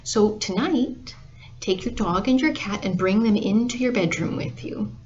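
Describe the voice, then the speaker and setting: Woman playing a calm storybook wise woman, muffled audio. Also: medium pitch, unhurried, close to an everyday voice. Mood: calm, assured. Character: calm, assured wise woman giving advice. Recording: dull, lo-fi audio with clear noise.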